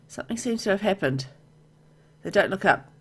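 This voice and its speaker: A woman's voice: mid-range voice, expressive, moderate pace, breathy edge. A woman reading a visual novel aloud as she plays, mixing narration with casual asides.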